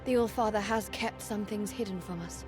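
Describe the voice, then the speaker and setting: An actress playing a resolute, righteous warrior valkyrie in a video game cutscene, with formal and solemn delivery. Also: female voice, medium pitch, fairly quick, lightly acted. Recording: music behind the voice.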